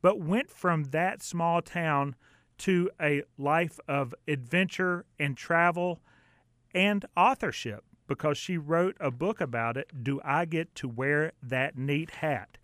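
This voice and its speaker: A man's voice: a little high, slow, a bit bright, no echo, studio quality. A man hosting a radio show, introducing and interviewing a guest. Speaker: radio host.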